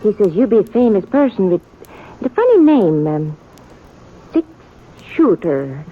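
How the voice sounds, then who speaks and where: Husky bride, lively old-radio voice. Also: female voice, medium pitch, measured pace. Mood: pleading. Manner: broken English, emphatic and plain-spoken. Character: earnest, stubborn, trusting immigrant mail-order bride. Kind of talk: 1950s Western radio drama acting. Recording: thin, muffled vintage radio recording.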